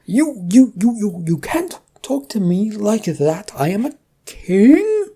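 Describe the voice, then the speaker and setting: A woman's voice: mid-range voice, sing-song, takes it slowly, dark tone, slight background noise, voice pushed up. A woman voicing a pompous, fretful male king in an audio storybook, blustering and dithering. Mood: indignant, sputtering. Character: flustered, pompous, fretful male king, self-absorbed bureaucrat.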